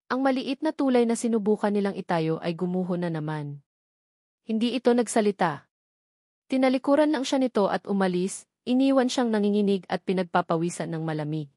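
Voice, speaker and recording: A Filipino woman narrates a scripted romantic drama as a storyteller. Her voice sits at a middle pitch for a woman, recorded close and dry with no room sound. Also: darkish tone, no room sound.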